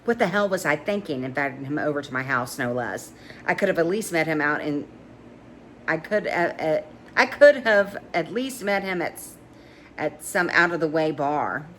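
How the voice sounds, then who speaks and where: Female narrator, low register, informal and animated romance read-along with small stumbles, some noise behind her. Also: normal speed. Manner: stumbles over words and giggles while reading. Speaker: narrator reading a novel aloud. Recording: clear background noise.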